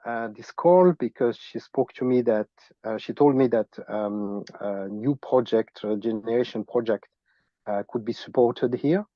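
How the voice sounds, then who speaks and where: A man from France with a heavy French accent, introducing himself haltingly on a video call and searching for words. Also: medium pitch, no room sound, lo-fi sound. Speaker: meeting participant.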